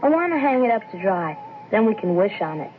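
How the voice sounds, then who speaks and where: A woman's voice: medium pitch, big pitch swings, a little fast, slight background noise, lightly acted. A woman playing a polite, innocent little boy in a radio drama, with simple, earnest answers. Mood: eager. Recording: lo-fi old radio, music underneath.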